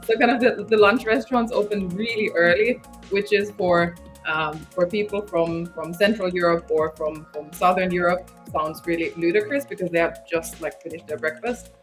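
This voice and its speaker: Lower-pitched woman in casual chat. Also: moderate pace, faint music behind. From Finland.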